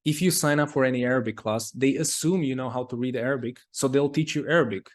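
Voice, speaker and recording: A man's voice: medium pitch, quick pace. A man as an interview guest in casual conversation, talking in loose, rambling sentences full of "like". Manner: rambling, heavy use of "like".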